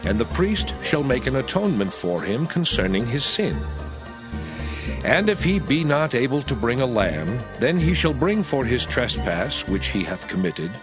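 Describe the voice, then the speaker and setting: A man narrates scripture in a solemn, measured way. His medium-pitched voice rises and falls expressively, often dropping at phrase ends. Music plays clearly underneath. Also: very animated. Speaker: scripture reader.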